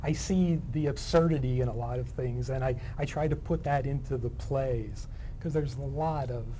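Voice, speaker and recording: A middle-aged man with a medium-pitched voice answers interview questions in an understated, conversational way. Some background noise sits behind his talk. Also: normal speed, warm tone, poor audio. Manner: understated, modest. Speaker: interview guest, playwright. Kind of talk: casual interview chat.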